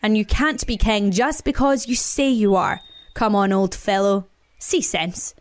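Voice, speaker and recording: A woman voicing a big, sensible male brown bear in a children's story, speaking slowly and emphatically. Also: mid-range voice, close to an everyday voice. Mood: challenging, reasoning.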